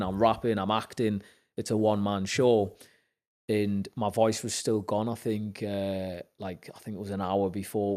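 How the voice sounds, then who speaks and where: A young man with a Newcastle accent tells his story as a podcast guest, his voice sitting on the low side. He brings the story to life by acting out what other people said and lifts his pitch when he gets excited. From Zambia. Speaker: podcast guest, actor and musician. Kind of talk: casual storytelling chat.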